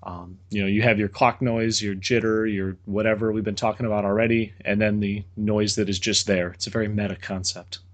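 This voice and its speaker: A man's voice: lowish voice, little pitch movement, a little fast, hardly any pauses. A man, a guest engineer on a podcast, explaining technical ideas casually with dry joking asides.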